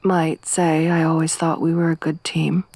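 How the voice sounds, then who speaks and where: A woman voicing a tough, wry young survivor woman in a soft-spoken ASMR roleplay, with a dry, teasing, gruff manner. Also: low-pitched, level tone, moderate pace, dry recording. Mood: low-key, wry.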